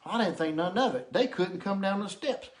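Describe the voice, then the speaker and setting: A man's voice: high voice, animated, a little fast, very noisy. A man telling a casual comic anecdote to camera in a rambling, folksy way, often repeating himself. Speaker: storyteller.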